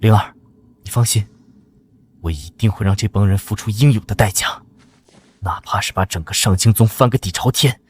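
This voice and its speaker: A man playing a cocky, resolute young hero who taunts his foes in a dramatic animated fantasy comic. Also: fairly low pitch, normal speed, pauses often, slightly rough. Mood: determined, reassuring. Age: young adult. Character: defiant young man hero with modern weapons in cultivation world.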